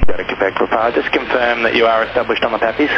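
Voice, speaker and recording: A man working as an air traffic controller, giving measured, procedural instructions over aviation radio. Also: medium pitch. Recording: muffled lo-fi aviation radio, music behind.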